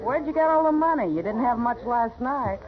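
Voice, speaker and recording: A muffled old radio recording of a woman acting a tough, wry American saloon gal. She sounds knowing and unimpressed, with a loud barroom crowd behind her. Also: a little high, expressive, moderate pace, close to an everyday voice. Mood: wry, sceptical. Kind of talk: 1950s radio western acting.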